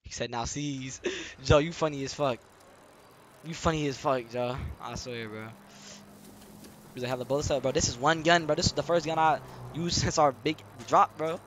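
A young man live-streaming a role-play game, talking in excited, boastful, repetitive street slang with lots of swearing. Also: fairly high pitch, normal speed, a little hiss or hum.

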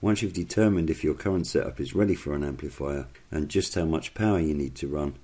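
Very deep-voiced man narrating. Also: fairly quick, mellow, slightly rough. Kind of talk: scripted explainer narration.

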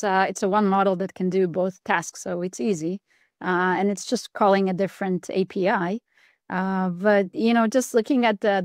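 A woman's voice: mid-range voice, a little mellow, no room sound. A woman in her thirties answers questions in an upbeat, conversational way, with loose phrasing. Her mid-range voice sounds dry and close through a laptop mic on a video call. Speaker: product manager. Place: indoors at home, virtual background. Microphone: webcam or laptop mic on a video call.

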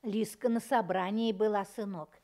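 A woman's voice: medium pitch, fairly even intonation, moderate pace, dark tone, lightly acted. A woman playing a mild, conciliatory middle-aged peasant mother in a radio drama, speaking plain village speech. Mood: mild, defending. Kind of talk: Soviet radio drama acting.

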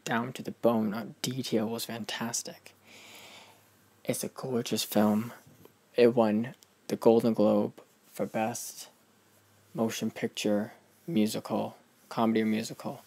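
A man talks through a film review in a loose, casual vlog style, full of praise. His delivery is emphatic and gushing, and he often stops and restarts mid-sentence as he hunts for words. His voice sits at a middling pitch. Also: vocal fry, darkish tone.

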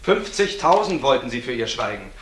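A man plays a stern investigator in a crime radio drama, in a roomy, echoing recording. His medium-pitched voice is pointed and accusing. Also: very animated, normal speed, a little hiss or hum, natural voice. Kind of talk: German crime radio play acting. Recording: roomy, echoing studio sound.

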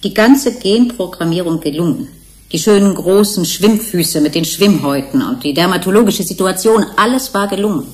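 An actress plays a female researcher in a German radio drama. Her voice sits slightly low. She muses in a reflective, wistful way, with fondness in her delivery. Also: lively intonation, normal speed, darkish tone, close to an everyday voice. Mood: wistful, fond. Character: wistful female researcher, fond of her engineered fish-human creatures.

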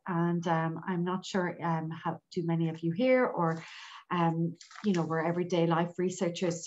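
A woman with an Irish accent giving an informal academic presentation over a video call, hesitating often. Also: medium pitch. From Ireland. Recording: dull lo-fi online call audio.

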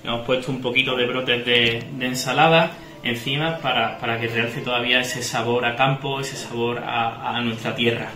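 A man's voice: mid-range voice, fairly even intonation, normal speed, hardly any pauses, pushed and bright. A man, explaining a recipe step by step as a chef in a small tiled restaurant kitchen, often checking in with his viewers. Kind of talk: cooking demonstration. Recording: echoey kitchen with frying noise. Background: sizzling pan and kitchen ambience.

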